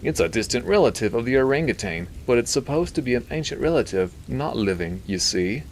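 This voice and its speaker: Male narrator as a weary, pretentious man of science for an audiobook; nasal, pitched up, affected British airs, faint rain behind. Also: animated, fairly quick, slight background noise, close to an everyday voice. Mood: astonished, explaining. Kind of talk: audiobook character dialogue.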